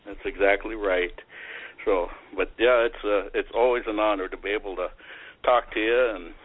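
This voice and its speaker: Easygoing husky guy, dry tinny radio sound. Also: lowish voice, fairly even intonation, normal speed, dry recording. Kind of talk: casual radio chat.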